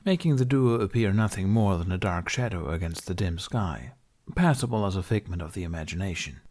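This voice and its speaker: Low-voiced male audiobook narrator. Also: darkish tone. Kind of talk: scripted fiction narration.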